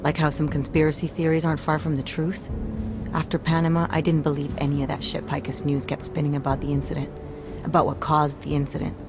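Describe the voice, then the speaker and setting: An actress playing a tough, embittered ex-pilot turned rebel in a video game, blunt and streetwise. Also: female voice, sits low, level tone, quick pace, music in the background. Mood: bitter, earnest. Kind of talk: video game cutscene dialogue.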